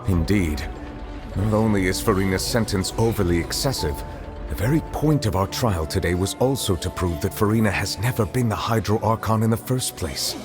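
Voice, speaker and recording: A man voicing a solemn, courteous chief judge in a video game cutscene, speaking formally and deliberately with composure. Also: lowish voice, music in the background, close to an everyday voice. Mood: measured, questioning.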